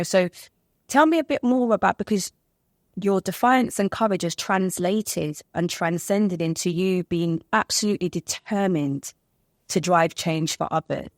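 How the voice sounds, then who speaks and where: A woman's voice: on the low side, dry recording, studio quality. A woman hosting a podcast interview, affirming her guest and building long, searching questions. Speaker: podcast host.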